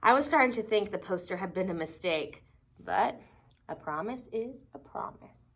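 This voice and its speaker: A woman reading a children's picture book aloud expressively, with dramatic emphasis and deliberate pauses. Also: medium pitch, lively intonation, breathy edge. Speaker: storybook reader.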